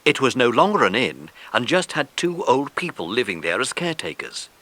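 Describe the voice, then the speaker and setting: A man's voice: medium pitch, big pitch swings, fairly quick, pushed and bright. A man narrating a children's story from a script, as the narrator of an audiobook.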